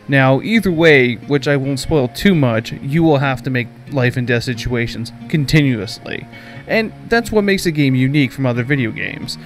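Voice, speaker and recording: A man hosting a podcast game review, giving commentary that moves between prepared narration and loose opinion. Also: mid-range voice. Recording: music playing behind the voice. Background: music.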